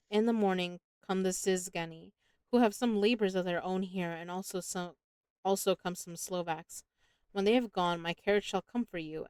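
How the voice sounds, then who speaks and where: A woman's voice: fairly low pitch, darkish tone, clear, resonant tone, no echo, close to an everyday voice. A woman reading as a courtly sinister vampire count. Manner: stately, falsely gracious.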